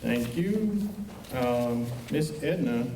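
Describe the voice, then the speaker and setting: A man's voice: mid-range voice, lively intonation, very slow, mellow, a little hiss or hum. An American man playing an earnest, flustered frontier deputy in a Western radio play, folksy, hesitant and polite as he stammers along. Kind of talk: stage performance of old-time Western radio drama. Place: high school auditorium stage. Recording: echoey auditorium, distant mic, music behind.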